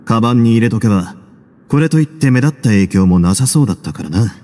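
An actor playing a cool, composed duke in an anime game, speaking with measured, casual authority and dry wit. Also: male voice, fairly low pitch, lively intonation, dark tone, natural voice. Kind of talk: Japanese anime game voice acting. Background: music.

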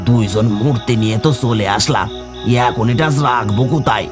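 A man's voice: fairly low pitch, normal speed, over music, lightly acted. A man voicing a simple, eager village fisherman in a cartoon, with exclamatory, colloquial talk. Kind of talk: cartoon voice acting.

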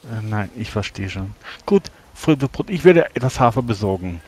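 Husky, low-voiced male roleplayer. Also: expressive, moderate pace, darkish tone. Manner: hesitant, polite. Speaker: tabletop game player. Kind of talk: improvised in-character game talk.

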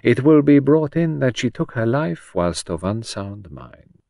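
A man doing a gentle detective. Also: medium pitch, big pitch swings, measured pace, gravelly, no echo, studio quality, voice pushed up. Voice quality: precise, formal phrasing. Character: fussy foreign male detective, gentle, weary. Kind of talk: audiobook character voice.

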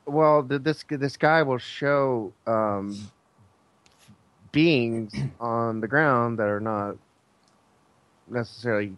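A man telling a story casually as a guest, halting and searching for his words. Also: medium pitch, a bit bright, clean tone, no echo. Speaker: guest, air traffic controller.

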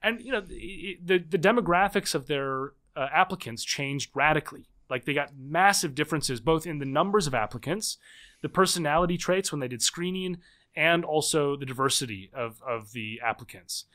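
A man in his thirties, a book author, explaining his ideas in a podcast interview with lively restarts. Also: on the high side. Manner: animated, fast explaining with restarts. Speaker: guest author.

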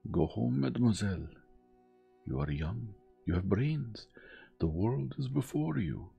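Man doing a gentle old detective, formal, persuading, pitched up. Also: expressive, measured pace, gravelly, husky, dull audio, soft background music, full character voice. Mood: earnest. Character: gentle, fastidious elderly male foreign detective.

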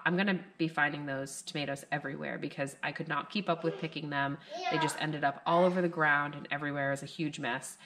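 A woman in her thirties with a low voice talks casually to a camera in her living room at night. She rambles along loosely and fills her pauses with "like" and "um" as she chats about her garden. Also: fairly bright tone. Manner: rambling and chatty, with many fillers. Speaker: homestead gardening vlogger.

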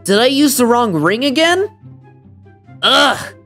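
A woman voicing a bewildered, squeamish young male cartoon hedgehog with a raspy voice in a comic dub. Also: on the high side, big pitch swings, slow, a bit bright, faint music behind, heavily acted. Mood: confused, annoyed.

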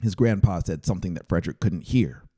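A man's voice: low-pitched, quick pace, mellow, slightly rough. A man in his thirties giving a scripted story narration close to a studio microphone. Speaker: audiobook narrator. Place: small brick-walled room indoors. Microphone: close studio microphone on boom arm.

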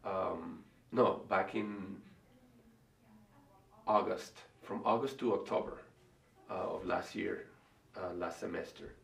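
A middle-aged man with a Venezuelan Spanish accent, answering interview questions in a thoughtful, hesitant way with many pauses and restarts. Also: low-pitched, fairly even intonation, creaky. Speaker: artist and college faculty member. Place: room in front of a large painting. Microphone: far camera. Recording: echoey room from far off, faint hiss.

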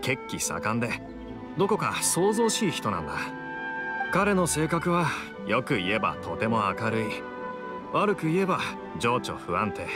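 A man's voice: mid-range voice, very animated, measured pace, music in the background. A man playing an easygoing young adventurer in an anime game, talking in a casual, reflective, slightly hesitant way. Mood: thoughtful. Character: easygoing young male adventurer, thoughtful townsman.

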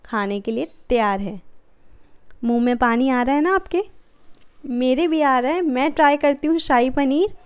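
High, smooth-voiced woman cooking host. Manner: calm, instructive, friendly. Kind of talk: step-by-step recipe voice-over.

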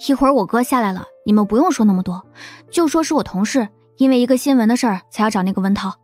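A feisty, naive young woman, played by a woman, speaks in a high, brash voice. It is an audiobook drama with soft music underneath. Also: fast talker, close to an everyday voice. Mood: cheerful. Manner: brash, complaining.

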